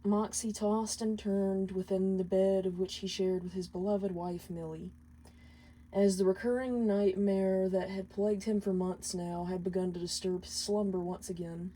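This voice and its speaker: A woman's voice: mid-range voice, fairly even intonation, normal speed, dark tone, low-quality recording. A woman reading scripted fiction aloud as a storyteller. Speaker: fan fiction reader.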